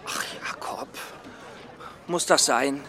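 An actor playing a timid, ailing, fussy scholar in a children's radio play, hesitant and plaintive. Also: male voice, mid-range voice, slow, sharp tone, in a whisper. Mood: reluctant, timid.

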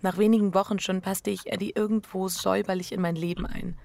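A woman's voice: mid-range voice, flat delivery, fast, vocal fry, darkish tone, no room sound, lightly acted. An actress playing a restless, introspective wife confessing her affair in an intimate radio drama. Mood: introspective, subdued. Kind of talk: intimate first-person radio drama acting.

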